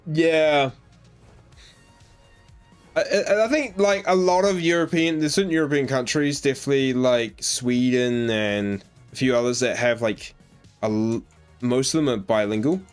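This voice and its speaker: A man's voice: mid-range voice, a little slow, smooth, soft background music. Rambling Australian man streaming a game. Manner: rambling, filler-heavy, reading and answering chat. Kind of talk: casual live-stream chat while playing a game. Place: home in New Zealand.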